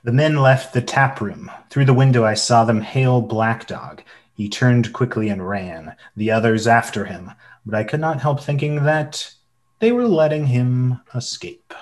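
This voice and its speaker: A man's voice: medium pitch, measured pace, lightly acted. A man playing the narrator of a radio play, recalling a boyhood sea adventure in scripted storytelling. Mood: reflective.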